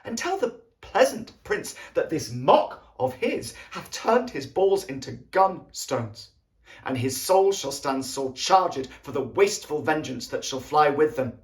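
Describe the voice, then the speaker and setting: A man playing a proud young warrior king, coldly menacing, in a deliberate, emphatic Shakespearean stage monologue. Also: fairly high pitch, sing-song. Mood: threatening. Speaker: actor performing an audition monologue.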